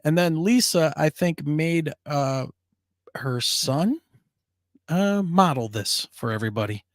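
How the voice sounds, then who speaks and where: A man's voice: a little high, lively intonation, slow pace, no room sound, clean studio sound. Man with a scratchy, worn voice. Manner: upbeat, chatty, laughs easily. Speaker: co-host of a paranormal talk show. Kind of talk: casual live-stream chat.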